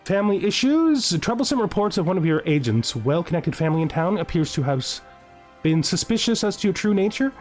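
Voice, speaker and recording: A man's voice: high voice, sing-song, a little fast, darkish tone, faint music behind. A man hosting a gaming video, thinking aloud in casual commentary and playfully gloating with in-character asides.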